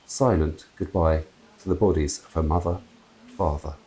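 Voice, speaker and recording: A man in his fifties reading a children's story aloud in an expressive storytelling style. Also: rumbling low, moderate pace, rough recording. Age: 50s. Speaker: author reading own book aloud. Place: small room with wooden door and brick wall. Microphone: clip-on lapel mic.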